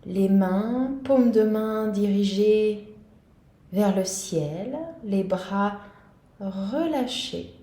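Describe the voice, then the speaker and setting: A woman guiding a yoga nidra meditation in a calm, gentle, soothing manner. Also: mid-range voice, drawn-out words, roomy sound. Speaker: meditation guide.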